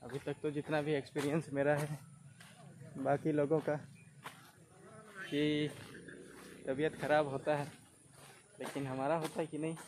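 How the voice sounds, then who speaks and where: A man's voice: on the high side, flat delivery, measured pace, long pauses. A young man walking through rural lanes and a street market, narrating a casual travel vlog to his viewers on a handheld phone. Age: young adult. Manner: chatty tour-guide commentary, addressing viewers as friends. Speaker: travel vlogger. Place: outdoors, rural roads and busy street market. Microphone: handheld phone camera. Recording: outdoor phone recording with street noise.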